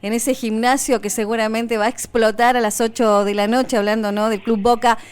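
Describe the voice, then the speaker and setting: A woman's voice: a little high, little pitch movement, normal speed, clear, resonant tone. A woman radio host with an Argentine accent, running an interview in a chatty way with many fillers and restarts. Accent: Argentine Spanish.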